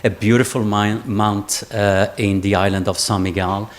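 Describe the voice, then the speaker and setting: A man's voice: lowish voice, flat delivery, moderate pace. A middle-aged man from the Azores giving an academic conference talk, jet-lagged and tired, chatty and digressive with joking asides to the audience. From Azores, Portugal. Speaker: conference speaker, geographer. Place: lecture room with an audience.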